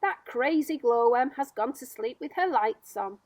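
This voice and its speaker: A woman voicing a bossy, impatient male centipede in a children's storybook, with loud, emphatic demands. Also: sits high, animated, unhurried, no room sound. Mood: scornful.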